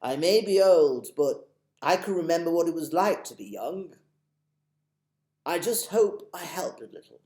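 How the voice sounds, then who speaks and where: A man voicing a kind, polite, old-fashioned elderly gentleman tramp in a storybook reading. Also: fairly high pitch, animated, a little slow, echoey room, pitched above the performer's own voice. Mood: kindly. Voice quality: genteel, proper old-fashioned manner.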